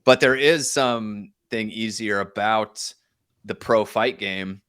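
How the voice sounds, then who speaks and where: Guy on a podcast, lowish bright voice, chatty storytelling, pokes fun at himself. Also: slow, clear, resonant tone. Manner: self-deprecating, joking. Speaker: podcast guest. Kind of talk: casual conversational storytelling.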